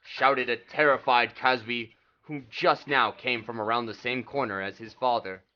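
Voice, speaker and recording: A man's voice: mid-range voice, fairly even intonation, moderate pace, highs cut off. A man giving scripted dramatic narration as the narrator of a story.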